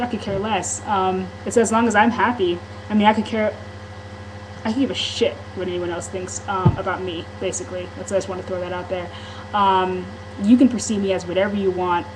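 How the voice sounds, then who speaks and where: A woman's voice: mid-range voice, noisy background. A woman talking candidly in a casual vlog monologue, rambling, with frequent ums and restarts. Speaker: vlogger.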